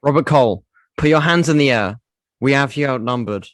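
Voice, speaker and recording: A man performs a hard, suspicious prison boss in an audio drama. His voice sits at a medium pitch. He gives orders and brushes off whatever he is told. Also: a little fast, close to an everyday voice. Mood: commanding. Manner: commanding, dismissive. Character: stern, distrustful male prison authority giving orders.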